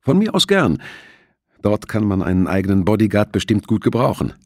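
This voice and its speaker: A polite, businesslike woman officer in an audiobook, read by a man. The voice is husky and dry, with lively movement as it courteously explains procedure. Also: lowish voice, brisk, dry recording, voice pushed up. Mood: friendly.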